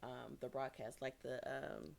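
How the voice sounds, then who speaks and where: Deep-voiced woman telling a laughing story. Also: a little slow, gravelly. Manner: laughs often, animated storytelling. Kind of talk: casual chat.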